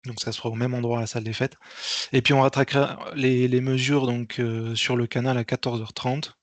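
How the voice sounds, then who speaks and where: A man presenting an informal meeting briefing as an event organizer, speaking hesitantly and haltingly with frequent repeats. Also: mid-range voice, level tone, no echo.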